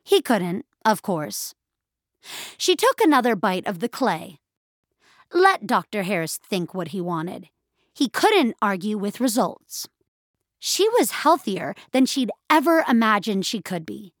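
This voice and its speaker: A woman narrating scripted audio fiction in a measured, expressive storytelling style. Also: mid-range voice, no room sound, studio quality.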